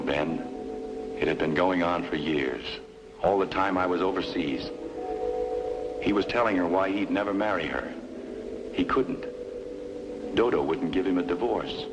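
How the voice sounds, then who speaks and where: A man's voice: low-pitched, moderate pace, long pauses, lo-fi sound, heavy background noise, close to an everyday voice. An actor playing a wronged, obsessive younger man, speaking with insistent intensity in an old-time radio noir drama. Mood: wounded, confessional. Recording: old radio recording, faint music.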